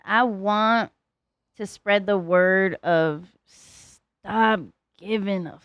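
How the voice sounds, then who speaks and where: A woman's voice: mid-range voice, very slow, smooth, no room sound, dull audio. Blunt, profane young woman guest, dry sound. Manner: blunt and profane, heavy use of "like"; animated, slips into voiced quotes. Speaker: podcast guest. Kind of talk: casual interview chat.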